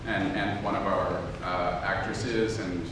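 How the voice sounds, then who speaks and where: Guy doing a casual self-deprecating talk, big echoey room, rumbly noise behind him. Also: level tone, takes it slowly. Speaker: audio drama producer giving a lecture. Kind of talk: informal talk with audience questions. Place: indoor room with an audience. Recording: very echoey room, distant mic, noisy rumble.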